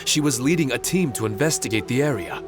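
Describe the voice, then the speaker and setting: Guy doing earnest puppet warrior from a game, kinda forgetful, normal pitch, music behind. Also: lively intonation, fast, natural voice. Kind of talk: video game cutscene dialogue.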